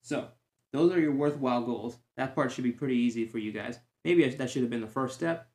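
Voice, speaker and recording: A man's voice: medium pitch, a little fast, darkish tone. A man hosting a fitness podcast, giving encouraging advice in a chatty way with joking tangents. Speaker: certified fitness trainer. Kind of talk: casual solo podcast talk. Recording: roomy echo.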